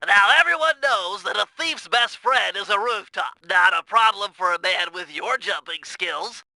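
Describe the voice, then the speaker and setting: Nerdy turtle strategist, piercingly bright, creaky edge, nasal, precise, earnest, video game tutorial, dry close recording. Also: male voice, sits high, no echo, full character voice, rough recording. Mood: eager, instructive. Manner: precise, instructional, wordy and technical. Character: brainy nerdy turtle mission planner, male, earnest and fussy.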